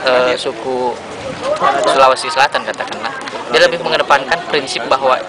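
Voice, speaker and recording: A man's voice: medium pitch, fairly quick, low-quality recording. A young man, a student interviewee, speaking earnestly and searching for words. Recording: loud crowd and street noise.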